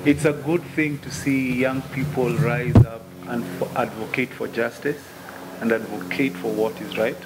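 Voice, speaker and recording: A male minister speaks at a press conference, earnest and sermon-like, weaving scripture into his answers. His voice is mid-pitched. Room noise runs behind him. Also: fairly even intonation, normal speed. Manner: earnest, preacherly, quotes scripture. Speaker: minister, gospel musician. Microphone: table microphone.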